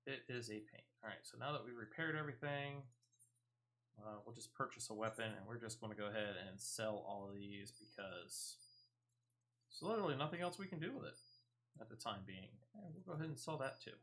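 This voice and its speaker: A man's voice: medium pitch, fairly quick, a bit bright. A man giving casual live gameplay commentary as a streamer, chatty and emphatic, often repeating phrases. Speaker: game streamer.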